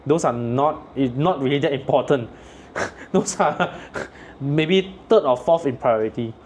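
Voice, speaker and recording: A man's voice: mid-range voice, a little slow, a little mellow, a little hiss or hum. A man from Malaysia with a Malaysian English accent, chatting casually and giving frank business advice, laughing often. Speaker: podcast host. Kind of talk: casual chat.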